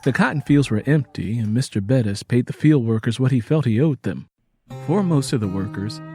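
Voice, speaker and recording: A man narrating a scripted audio story in a storytelling style. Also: mid-range voice.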